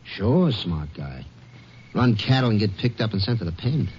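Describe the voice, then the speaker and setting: A wary, scheming American farmhand thief in old radio crime style. He snaps out slangy orders with lively swings of pitch. The broadcast is dull and slightly noisy. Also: moderate pace. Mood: sly, scheming. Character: crooked farmhand cattle-country thief, wary, bossy. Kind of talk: 1950s radio drama acting.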